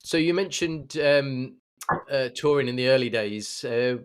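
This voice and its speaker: A man with a medium-pitched voice interviews someone in a casual, conversational way. He phrases his questions tentatively, often pausing to find the right word. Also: clean tone. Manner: tentative, searching for words. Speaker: podcast host, interviewer.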